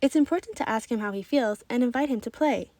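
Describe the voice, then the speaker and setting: A woman reading a children's book aloud in an expressive, animated storytelling style. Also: a little high, quick pace, no room sound. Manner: animated, acts out children's dialogue.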